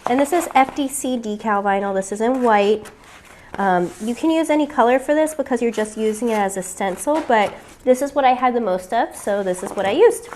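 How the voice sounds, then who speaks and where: A woman's voice: a little high, a little fast, a little mellow, slight background noise. A woman with a weak voice from getting over a cold, chatting casually through a step-by-step crafting tutorial with frequent fillers.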